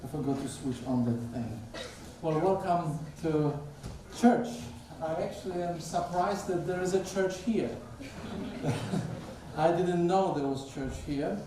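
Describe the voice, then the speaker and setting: A middle-aged man with a Polish accent delivers a chatty guest sermon and asks the congregation questions. His voice sits fairly high and moves at an unhurried pace. He is heard from a distance, in a church hall full of echo. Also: background noise. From Poland. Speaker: guest speaker, theology professor. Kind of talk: conversational sermon. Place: church sanctuary. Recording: echoey church hall, picked up from afar.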